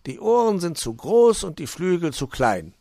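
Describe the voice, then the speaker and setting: A cheerful, hospitable fox who loves to cook, with a bright, high voice far above the reader's own. His lines bounce up and down with polite, friendly warmth. The sound is dry and close. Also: big pitch swings, moderate pace, gravelly, no echo. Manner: warm, cheerful, politely formal. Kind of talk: storybook character voice.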